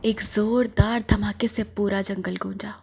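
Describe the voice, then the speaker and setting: A woman's voice: mid-range voice, fairly even intonation, a little slow, creaky, darkish tone, slight background noise. A woman narrating a scripted horror story in a suspenseful, dramatic manner.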